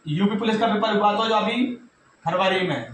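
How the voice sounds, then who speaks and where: A man's voice: high voice, moderate pace, a bit bright. A man with a North Indian accent gives an informal explanatory update to students, repeating his points and addressing listeners directly. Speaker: YouTube exam news presenter. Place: reverberant indoor room. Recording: very echoey room, distant mic, faint noise.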